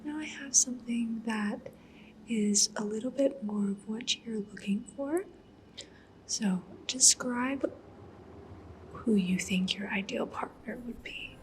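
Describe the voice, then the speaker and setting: A woman playing a polite, discreet cyberpunk matchmaker in an ASMR roleplay, speaking gently and professionally in a breathy whisper. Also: a little high, slow pace, slight background noise, rough recording. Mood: calm, businesslike. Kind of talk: soft-spoken ASMR roleplay.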